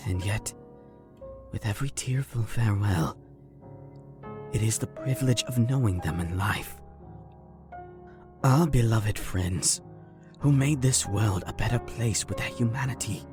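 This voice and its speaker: A man's voice: lowish voice, measured pace, long pauses. A man voicing a wise, devoted husband who speaks in a solemn, tender and formal manner in a fan drama dub. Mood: solemn consolation. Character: devoted, wise, consoling long-lived husband. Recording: music bed under the voice.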